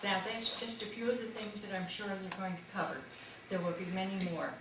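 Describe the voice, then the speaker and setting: A woman chairing a town meeting, introducing the speakers in a measured way and setting out the agenda. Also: mid-range voice. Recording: echoey hall from far off with hiss.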